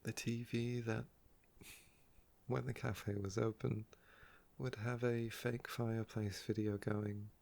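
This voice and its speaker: Croaky man rambling in soft ASMR style. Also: fairly low pitch, flat delivery, takes it slowly. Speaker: ASMR video creator. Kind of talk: ASMR casual rambling about the day.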